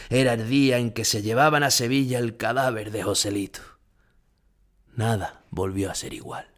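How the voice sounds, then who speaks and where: An actor playing an aging, haunted Spanish bullfighter who recalls his past gravely in a dramatic audio monologue. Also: lowish voice, natural voice. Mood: grieving, subdued.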